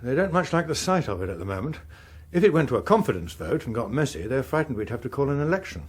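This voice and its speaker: A man's voice: mid-range voice, big pitch swings, quick pace, slightly rough. An actor playing a harried, wry middle-aged English politician and father, speaking drily and articulately in a radio drama. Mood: wry, weary. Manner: dry, exasperated, articulate. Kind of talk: British radio drama acting.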